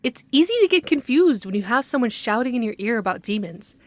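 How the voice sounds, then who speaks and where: High-pitched skeptical female investigator played by an actress. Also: very animated, a little fast, a little mellow, no echo. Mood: explaining. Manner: precise, argumentative, matter-of-fact. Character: skeptical, bookish young female paranormal investigator, stubborn and by-the-book. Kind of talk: audio roleplay drama.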